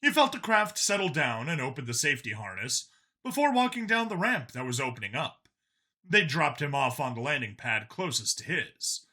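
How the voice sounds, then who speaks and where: A man reading a scripted story as an expressive, dramatic audiobook narrator. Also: mid-range voice.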